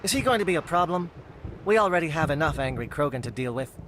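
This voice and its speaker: A man as fast-talking alien army captain. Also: on the high side, expressive, noisy background, natural voice. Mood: terse. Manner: clipped, formal, businesslike. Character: brisk, resolute amphibian alien army captain. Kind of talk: video game voice acting.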